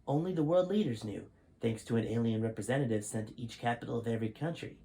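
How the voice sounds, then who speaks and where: A stern five-star general, as a man reads him aloud, grave and lecturing, with a middle-pitched male voice. He speaks in a plain reading voice rather than a heavily acted one. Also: quick pace, vocal fry, warm tone, natural voice, poor audio.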